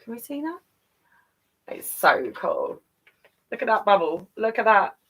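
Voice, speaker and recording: A woman's voice: medium pitch, sing-song, a little slow, fairly bright tone, breathy edge. A woman reading a children's picture book aloud expressively, with chatty asides straight to young listeners. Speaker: children's storyteller.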